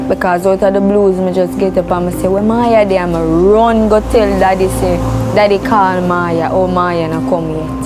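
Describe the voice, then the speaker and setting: Young female interviewee, broad Jamaican dialect, natural storytelling, clear music bed. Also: mid-range voice. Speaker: interviewee, relative telling her account. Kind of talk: conversational personal recounting in Patois.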